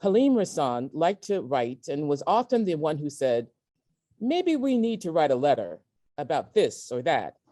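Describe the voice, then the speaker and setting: A woman reading memoir excerpts aloud in a measured, emphatic way, with deliberate pauses. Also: low-pitched, big pitch swings, warm tone. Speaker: guest reader at a virtual event. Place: indoors at a community center, on an online event.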